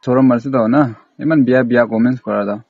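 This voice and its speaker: A man's voice: medium pitch, racing, gravelly, no room sound. A man on a casual, confrontational phone call, scolding and accusing insistently. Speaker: prank caller.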